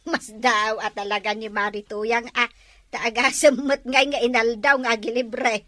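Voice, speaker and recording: A woman's voice: fairly high pitch, fairly even intonation, takes it slowly, a bit bright, dry recording. A woman acting in a comic village drama, inquisitive and drawing out her words. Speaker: comedy drama actor.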